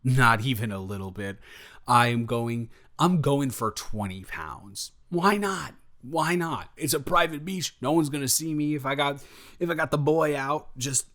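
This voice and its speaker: Casting: male actor for young, affectionate, easily excited boyfriend in roleplay audio; medium-pitched, playful, tumbles over his words. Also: close to an everyday voice. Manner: effusive, rambling, stumbling over words, playful slang. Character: affectionate, excitable, goofy golden-retriever boyfriend, young adult. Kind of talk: improvised boyfriend roleplay audio, casual intimate chat.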